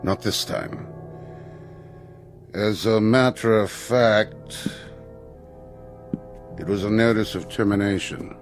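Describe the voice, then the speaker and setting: An actor playing a formal, awkwardly tactful school headmaster in a radio drama, with a halting, stiffly polite delivery. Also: male voice, lowish voice, fairly even intonation, soft background music. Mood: grave, uneasy.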